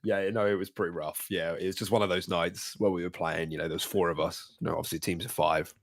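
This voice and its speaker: A man's voice: low voice, steady tone, fast talker. A young man co-hosting a podcast in casual chat, his throat sore and cracking, nose stuffy, laughing often as he rambles. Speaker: podcast co-host.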